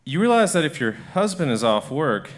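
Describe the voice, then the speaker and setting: A man's voice: on the high side, sing-song, moderate pace. A man narrating a comic radio drama in the second person, playful and wry.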